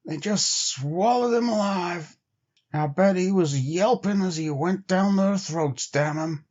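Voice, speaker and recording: A man's voice: sits high, slow pace, darkish tone, dull audio, pitched above the performer's own voice. A man voicing an irritable, sleepy frontier sled driver with folksy speech, in a storybook reading. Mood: exasperated.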